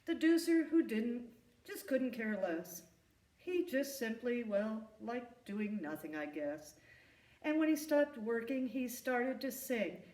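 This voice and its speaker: Woman reading a rhyming kids book out loud, expressive, echoey room, bit far from the mic. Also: on the high side, slow pace. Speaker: storyteller reading a children's book. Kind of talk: read-aloud rhyming children's story.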